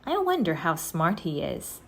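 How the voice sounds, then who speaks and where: A woman's voice: fairly low pitch, wildly swooping pitch, measured pace, gravelly, full character voice. A woman voicing a cautious, skeptical young boy in a children's storybook, with a dry, matter-of-fact delivery. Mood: dismissive. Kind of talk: children's storybook read-aloud.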